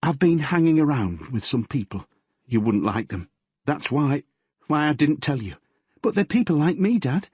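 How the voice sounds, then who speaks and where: A man's voice: a little high, sing-song, moderate pace, darkish tone, breathy edge, dry recording, voice pushed up. A man reading the part of a frightened, tearful teenage girl telling her policeman father what happened. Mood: anxious. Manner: shaky, pleading, breathless.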